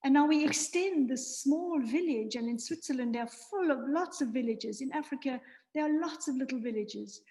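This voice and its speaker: A woman's voice: high-pitched, normal speed, warm tone, rough recording. A woman hosting a webinar forum, giving an earnest, idealistic opening speech with rhythmic, repeated phrasing over a video call. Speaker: forum host.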